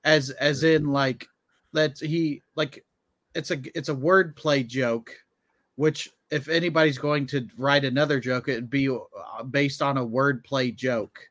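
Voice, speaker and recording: A man in casual podcast chat as a co-host, circling his point in a halting way. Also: medium pitch, fairly even intonation, a bit bright, dry recording.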